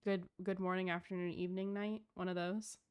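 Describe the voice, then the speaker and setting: A woman's voice: lowish voice, level tone, fairly quick, clear, resonant tone. A woman giving casual live stream commentary on a game, chatting in a gushing, giggly, informal way.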